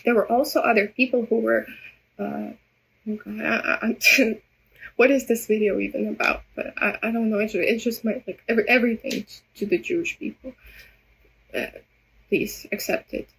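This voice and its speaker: Rambling, gravelly-voiced woman vlogger. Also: a little high, fairly even intonation, a bit bright, rough recording. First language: Russian. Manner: rambling, halting, many restarts and stammered repeats. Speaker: vlogger addressing viewers. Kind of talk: unscripted personal monologue. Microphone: phone camera.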